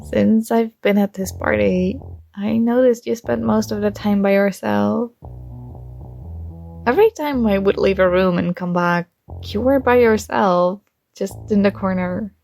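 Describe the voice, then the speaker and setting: A young woman playing a shy, warm college girl with a secret crush, speaking softly and hesitantly in an intimate ASMR roleplay. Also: medium pitch, a little slow, creaky, faint music behind. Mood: gentle, curious.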